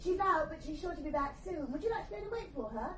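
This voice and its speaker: A kindly middle-aged mother, breezy and chatty. Her high voice moves animatedly in radio drama style, over some background noise. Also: lively intonation, quick pace. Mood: welcoming, hurried.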